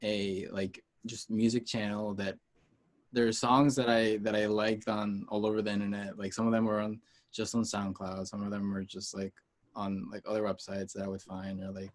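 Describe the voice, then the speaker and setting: Male music artist as interview guest, from the US, lowish voice, informal, rambling and halting delivery. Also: little pitch movement. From United States, Peruvian heritage. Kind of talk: casual interview chat.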